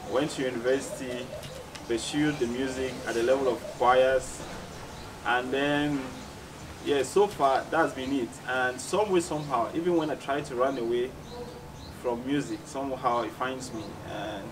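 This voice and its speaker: A man with a Cameroonian accent giving reflective, measured interview answers as a musician, often repeating phrases for emphasis. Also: medium pitch. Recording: distant mic, clearly noisy background ambience.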